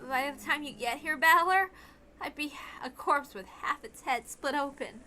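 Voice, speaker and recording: A woman's voice: high-pitched, normal speed, bright, forceful tone. A woman voicing a wounded, tough teenage girl in a visual novel reading, slangy, bitter and emphatic. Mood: weary, resigned. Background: music.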